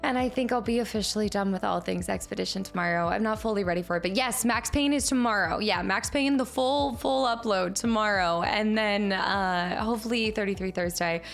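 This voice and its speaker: A woman streamer chatting casually with her viewers and thanking them, upbeat, her voice a little raspy today. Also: mid-range voice, a little fast, few pauses, faint music behind. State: slightly raspy voice. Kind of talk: casual stream chat reading chat. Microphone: streaming mic.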